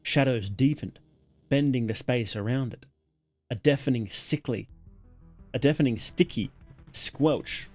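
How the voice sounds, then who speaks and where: A man narrating a horror story as a livestreamer, dramatic and solemn, in deliberate, weighted phrases. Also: mid-range voice, soft background music.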